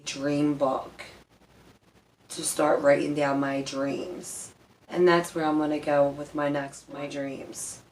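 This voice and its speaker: A woman's voice: low voice, little pitch movement, takes it slowly, darkish tone, poor audio. A woman telling personal stories casually as a vlog host, in a candid, rambling, emphatic way with some swearing. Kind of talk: casual personal storytelling to camera.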